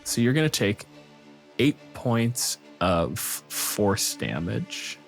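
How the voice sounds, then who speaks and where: A man's voice: on the low side, sing-song, drawn-out words, vocal fry, faint music behind. A man running a tabletop game as game master, narrating the action and calling for rolls.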